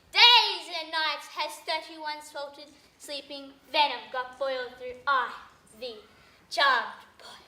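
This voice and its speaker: Chanting eerie witch, woman reciting it, slow and high, echoey room. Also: bright, forceful tone, heavily acted. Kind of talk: Shakespeare recitation.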